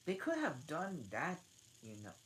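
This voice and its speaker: A woman's voice: low-pitched, huge pitch swings, a little slow, fairly bright tone, slight background noise, pitched above the performer's own voice, full character voice. A woman voicing a polite, curious young girl in a storybook reading. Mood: earnest.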